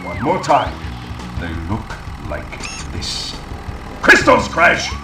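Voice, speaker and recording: An actor playing a pompous, scheming mad scientist villain in a video game cutscene, grandiose and theatrical. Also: male voice, mid-range voice, huge pitch swings, slow pace, full character voice. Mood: exasperated. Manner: theatrical, pompous, exasperated outbursts. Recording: roomy, distant, music underneath. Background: music.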